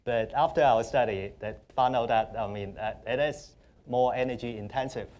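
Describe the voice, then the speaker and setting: A young man from Hong Kong with a Hong Kong accent, speaking as a panelist in a conference hall, halting and full of fillers. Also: mid-range voice, darkish tone. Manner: halting, many fillers and restarts. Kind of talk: panel discussion.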